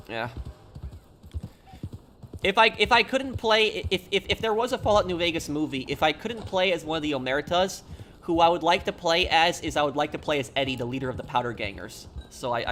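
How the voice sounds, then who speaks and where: A man's voice: on the high side, a little fast, a bit bright, slight background noise. An American man from New York chatting casually on a game livestream, rambling and often restarting his phrases. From New York, United States. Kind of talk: casual livestream chat while gaming.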